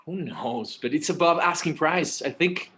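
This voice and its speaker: A man's voice: fairly high pitch, normal speed, pushed and bright. A man acting a casual, hesitant adult son in a conversational screenplay table read. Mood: hesitant, placating.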